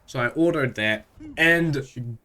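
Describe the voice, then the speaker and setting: A man's voice: mid-range voice, slow. Stuffy-voiced man casually recapping a game. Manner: relaxed, loosely recapping with frequent fillers. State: stuffy from a cold. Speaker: gaming channel host. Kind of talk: casual strategy game commentary.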